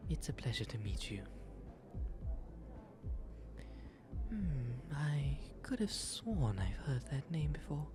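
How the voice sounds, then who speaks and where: A man playing a genteel, shy, flirtatious owl demon nobleman in an ASMR roleplay, with soft, breathy, formal and wryly self-deprecating speech. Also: on the high side, very animated, measured pace, a crowd in the background. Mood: courteous, wry. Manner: refined formal diction, polite, wry, self-deprecating. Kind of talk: ASMR roleplay, intimate audio drama. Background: music throughout.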